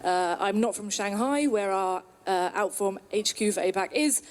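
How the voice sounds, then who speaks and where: A British woman in her thirties, from the UK, giving an upbeat presentation at a trade conference with some filler pauses. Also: medium pitch. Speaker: conference speaker. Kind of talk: presentation talk.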